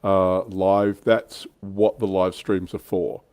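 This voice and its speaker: A man's voice: low voice, level tone, takes it slowly, a little mellow, no room sound. A middle-aged man, hosting a casual livestream chat about tabletop wargaming. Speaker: livestream host.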